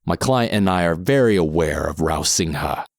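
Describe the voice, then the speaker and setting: An actor playing a calm masked mercenary in an audio drama, measured, unhurried and coolly threatening. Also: male voice, low voice, creaky, no room sound, studio quality. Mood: quietly menacing. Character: calm masked mercenary man, cool and menacing.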